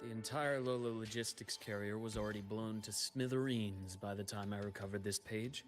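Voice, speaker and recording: An actor playing a composed, bitter man who survived research, speaking in measured, understated video game dialogue. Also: fairly low pitch, steady tone, lightly acted. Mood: grave, measured. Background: game music.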